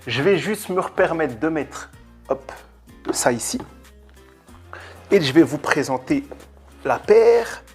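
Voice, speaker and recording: A man's voice: a little high, very animated, takes it slowly, pauses often, soft background music. A man hosting a casual unboxing vlog, talking in an informal, chatty, slangy way. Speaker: YouTube host.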